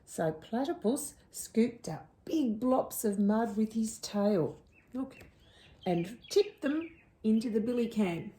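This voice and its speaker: A woman reading a picture book to young children, pausing to ask the listeners questions. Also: a little high, lively intonation, warm tone. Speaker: storyteller.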